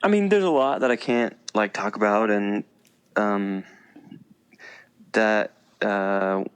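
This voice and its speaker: Man, thirties, low-pitched, casual storytelling, fillers, laughs often. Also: slow pace, clean tone. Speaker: podcast guest, veteran and teacher. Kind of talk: casual interview chat.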